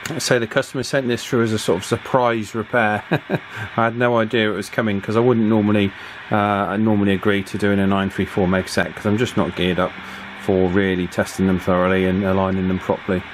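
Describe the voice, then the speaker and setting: A man's voice: on the low side, little pitch movement, brisk, a little hiss or hum. A middle-aged man giving an informal hands-on repair commentary, hesitant and thinking aloud as he goes. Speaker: radio repair technician and presenter. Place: small indoor workshop, at the bench.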